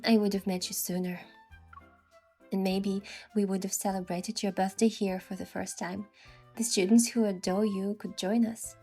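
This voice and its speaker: A woman's voice: mid-range voice, little pitch movement, moderate pace, mellow, natural voice. Lady doing playful young girlfriend in a romance game, coaxing, earnest n affectionate, bit of music behind.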